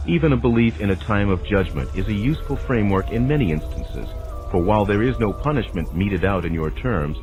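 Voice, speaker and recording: Deep-voiced man reading spiritual teachings over music. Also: darkish tone. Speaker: narrator.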